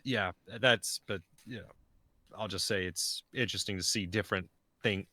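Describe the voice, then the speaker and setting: A man's voice: on the low side, moderate pace, sharp tone, no room sound. A man, a podcast guest talking in a hesitant, rambling way. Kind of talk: casual podcast chat.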